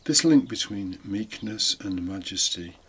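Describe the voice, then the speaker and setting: A man's voice: fairly low pitch, lively intonation, a little slow, a bit bright, breathy edge. A British man giving an informal, reflective homily, explaining things with conversational asides. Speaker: homily podcast host, deacon. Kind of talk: informal homily, partly scripted. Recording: faint background noise.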